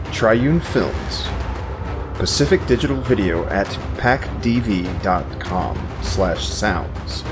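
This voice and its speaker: A man delivering scripted end-credits narration as the announcer of an audio production. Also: fairly low pitch.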